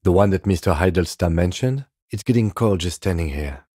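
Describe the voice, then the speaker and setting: Composed police detective, video game dialogue, husky, dark tone, close dry recording, restrained, dutiful. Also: male voice, low voice, expressive, no room sound. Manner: measured, restrained, matter-of-fact.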